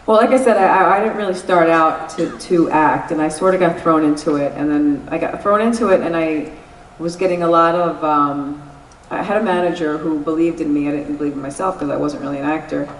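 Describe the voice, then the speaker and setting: A woman with a New York accent tells stories candidly, laughing and swearing as she goes. Her voice sits a little low, and it sounds roomy and slightly distant, with faint noise in the background. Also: fairly quick. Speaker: guest actress. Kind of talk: casual interview at a convention. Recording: echoey convention room, mic at a distance.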